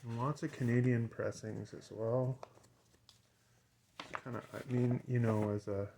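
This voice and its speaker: A man's voice: medium pitch, level tone, a little slow, gravelly, mellow, a little hiss or hum. A man giving a casual, unscripted show-and-tell as a collector, hesitant and rambling, often trailing off mid-thought. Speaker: record collector and seller showing items.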